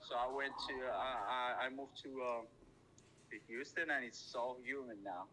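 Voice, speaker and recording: A man's voice: medium pitch, moderate pace, pushed and bright, slight background noise, poor audio. A man from Morocco chatting on a call, shy and hesitant with many fillers. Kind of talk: casual phone chat.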